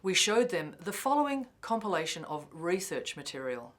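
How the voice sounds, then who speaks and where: A woman's voice: fairly low pitch, very animated, moderate pace, a bit bright, slight background noise. A woman filmmaker giving scripted narration about her work.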